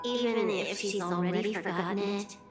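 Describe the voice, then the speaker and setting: A woman playing a cool, pragmatic female accomplice in a video game, measured, laconic and matter-of-fact. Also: mid-range voice, echoey room, distant mic, music in the background, lightly acted. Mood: cool, brisk.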